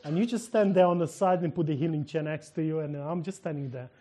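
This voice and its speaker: A minister with a Ukrainian accent shares a testimony from the pulpit. His voice sits a little high. He tells it like a lively story, acting out each exchange and often breaking into laughter. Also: fast talker.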